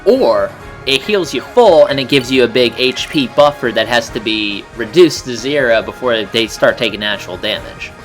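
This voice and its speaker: Man in casual game commentary, mid pitch. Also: animated, normal speed, hardly any pauses, fairly bright tone. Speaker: co-commentator on a video game playthrough. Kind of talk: casual chat. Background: game music.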